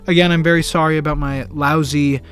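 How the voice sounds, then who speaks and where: An earnest young man talks through a film review in a casual, rambling way. He stumbles over his words and restarts his sentences. His voice sits a little high for a man, and faint music plays underneath. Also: little pitch movement, a little fast, a bit bright. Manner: earnest and enthusiastic.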